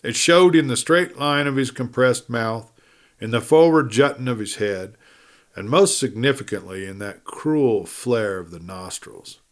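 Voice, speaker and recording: A man's voice: mid-range voice, unhurried. A man reading a western adventure story as a dramatic audiobook narrator.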